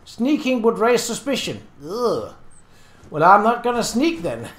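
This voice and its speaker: A man's voice: high-pitched, big pitch swings, slow, echoey room. A man casually commenting on his game as he plays, chatty and easily distracted, thinking aloud. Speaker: Let's Play host.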